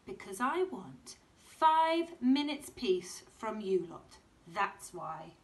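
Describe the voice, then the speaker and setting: A woman's voice: medium pitch, sing-song, takes it slowly, far from the mic. A woman reading aloud, voicing a weary, exasperated mother who wants peace. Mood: firm. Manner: exasperated, sighing.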